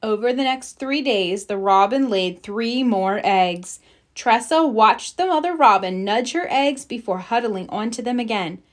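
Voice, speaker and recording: A woman's voice: fairly high pitch, expressive, a little slow. A woman narrating a scripted children's story as a read-aloud.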